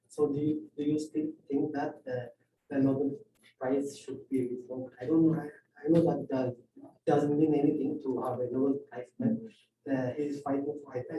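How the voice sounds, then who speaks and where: A man giving a halting answer in a discussion, with many fillers. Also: medium pitch, mellow, slight background noise. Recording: roomy hall, far from the mic.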